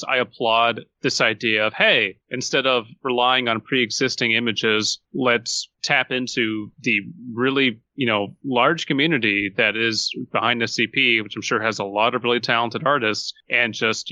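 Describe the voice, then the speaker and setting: A man's voice: on the low side, sharp tone, no room sound, dull audio. A man co-hosting a podcast, giving articulate, opinionated takes in casual banter. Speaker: podcast co-host.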